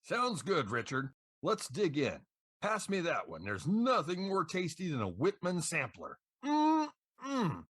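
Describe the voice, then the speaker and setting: A man's voice: on the high side, huge pitch swings, slow pace, fairly bright tone, husky, dry recording, studio quality. An American man co-hosting a show, delivering scripted, playful DJ-style banter and introductions. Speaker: podcast co-host.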